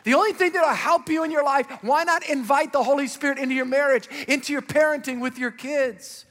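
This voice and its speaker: Raspy-voiced male pastor preaching in a hall. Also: extremely high pitch, brisk, fairly bright tone. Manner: preaching with rising emphatic calls and questions to the congregation. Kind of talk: sermon.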